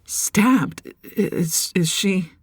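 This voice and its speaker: A woman's voice: lowish voice, very slow, husky, dry recording, clean studio sound, heavily acted. An actress playing a distraught, angry woman in an audio drama, blaming and demanding. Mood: shocked.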